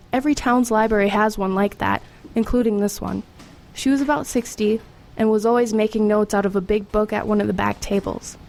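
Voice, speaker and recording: A woman's voice: medium pitch, darkish tone, natural voice. An actress playing a streetwise teenage girl in a radio drama, confiding and matter-of-fact as she tells her story. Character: streetwise, wry, formerly homeless teenage girl. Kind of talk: first-person narration and dialogue in radio drama.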